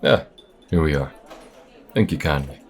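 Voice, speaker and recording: Man acting as a very deep-voiced folksy space bounty hunter. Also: animated, exaggerated voice. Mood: relaxed, sincere. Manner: folksy, unhurried, reassuring. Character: folksy, loyal male space bounty hunter, steady and protective. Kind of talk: intimate audio roleplay acting.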